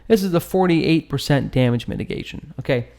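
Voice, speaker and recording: A man's voice: fairly high pitch, animated, brisk, gravelly, a little mellow. A man hosting a gaming channel, giving casual commentary as he analyses a battle, with informal, slangy, joking asides.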